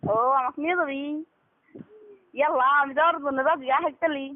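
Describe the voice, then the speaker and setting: High pitched woman, taunting banter on a tinny phone call recording. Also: fairly even intonation, brisk. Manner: taunting, sarcastic. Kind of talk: casual teasing phone argument.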